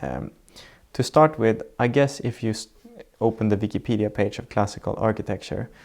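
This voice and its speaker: A Swedish-accented man with a low, gravelly voice talks through his ideas in an interview. He is measured and reflective, building up definitions one point at a time as he answers. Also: a little mellow. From Sweden. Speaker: architect guest. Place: sitting indoors in Norway.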